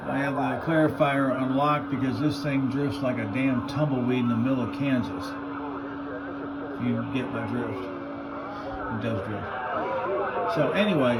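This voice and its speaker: Loud radio noise surrounds an American man with a mid-pitched voice. He presents a piece of hobby gear casually and off the cuff, in plain colloquial speech. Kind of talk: casual unscripted product demo.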